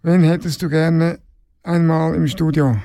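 A man's voice: a little high, little pitch movement, measured pace, warm tone, dry recording. A man asking interview questions on radio, hesitant and searching for his words.

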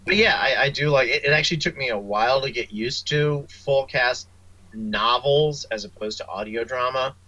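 A man speaking as a writer guest in a casual interview, halting and repeating words. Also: mid-range voice, sharp tone, no room sound, dull audio.

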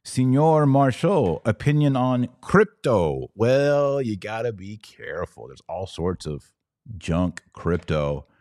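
A man's voice: lowish voice, very animated, slow pace, darkish tone, no echo, studio quality. A man from Texas hosting a podcast, chatting casually and answering live comments in a folksy, emphatic way, often repeating his advice. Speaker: podcast host.

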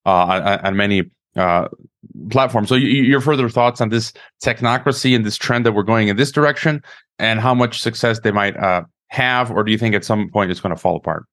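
A man with a somewhat low voice hosts an interview. He stammers often and repeats words as he works toward his point. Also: fairly quick, fairly bright tone. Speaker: interview host. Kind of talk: conversational interview.

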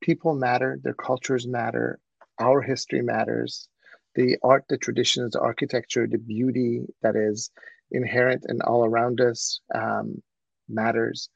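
Male presenter, Persian first language, gravelly edge, muffled lo-fi video call audio, earnest with many fillers. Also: medium pitch, level tone, a little slow, no echo. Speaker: presenter, mathematics educator. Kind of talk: webinar presentation.

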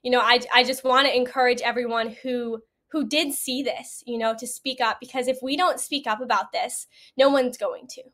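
A woman's voice: on the high side, fairly even intonation, normal speed, few pauses, a bit bright. A young adult woman speaking casually and earnestly as an interview guest.

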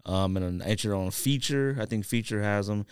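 A man co-hosting a podcast in casual, slangy, expressive banter. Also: lowish voice, moderate pace, clear, resonant tone, dry recording, clean studio sound. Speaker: podcast co-host.

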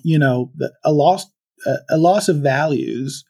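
A man from Venezuela speaking as a podcast guest, thoughtful and halting, with many fillers and restarts. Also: a little high, gravelly, warm tone. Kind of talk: reflective conversational interview.

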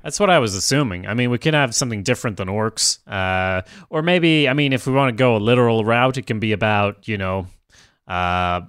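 A man's voice: on the low side, fairly quick, smooth, no echo, studio quality. A man whose first language is Swedish, musing through a casual story brainstorm with many fillers. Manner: relaxed, musing, hesitant with fillers. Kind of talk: casual creative brainstorming chat.

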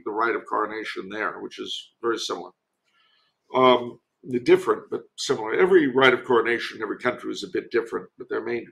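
A man's voice: medium pitch, vocal fry, a bit bright, poor audio. An older man speaking as a podcast guest in a discursive, emphatic storytelling manner, mixing commentary with anecdotes.

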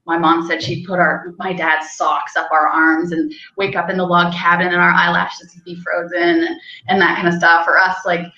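Young woman rambling through stories, bright voice, kinda roomy muffled audio. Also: lowish voice, little pitch movement, moderate pace. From Canada. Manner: chatty, rambling, with frequent likes and laughs. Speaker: hunting guide and outfitter. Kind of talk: casual interview storytelling.

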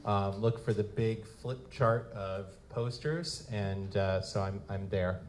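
A man's voice: lowish voice, takes it slowly, darkish tone. A man speaking casually as host and producer, answering questions at a live event. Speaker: host, audio drama producer and writer. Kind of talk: casual Q&A talk with an audience. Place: convention room at a live event. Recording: live event room with background noise.

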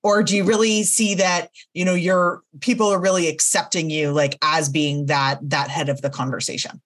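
A woman podcast host with a notably low, deep voice. She asks long, carefully framed questions in a measured, thoughtful way. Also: steady tone. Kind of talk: interview questions.

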